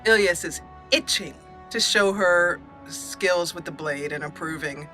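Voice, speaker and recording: A woman hosting a let's play, telling her character's story over gameplay with drawn-out emphasis. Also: on the low side, pushed and bright. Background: faint game music.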